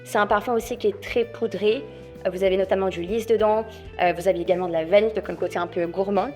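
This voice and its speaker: A woman contestant pitching a product to judges off the cuff, with lots of fillers and a chatty, persuasive manner. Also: fairly even intonation, fast, a little mellow, soft background music. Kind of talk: improvised sales pitch.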